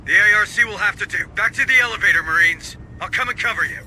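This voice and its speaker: An actor playing a gruff, middle-aged Marine squad sergeant in a video game, giving clipped orders. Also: male voice, high voice, quick pace, sharp tone, slight background noise, low-quality recording. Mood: urgent shouting.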